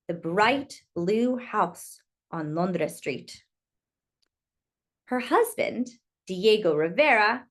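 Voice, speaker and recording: High, lively woman reading to children. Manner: expressive, exclaims over the pictures, addresses young listeners. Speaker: storyteller reading a children's picture book aloud. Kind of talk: read-aloud for young children with asides.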